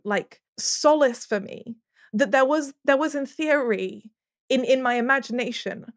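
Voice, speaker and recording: A woman's voice: a little high, fairly bright tone. A woman guest on a podcast speaking earnestly and personally, with emphatic piled-up lists of words.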